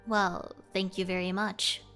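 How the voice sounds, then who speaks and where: A woman's voice: medium pitch, little pitch movement, measured pace, fairly bright tone, clear, resonant tone, soft background music, voice pushed down. Woman putting on a weary, put-upon father. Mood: weary, pleading.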